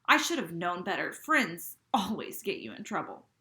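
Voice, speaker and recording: A woman reading a first-person novel aloud, with expressive, dramatic delivery. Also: fairly low pitch, normal speed, echoey room. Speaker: reader.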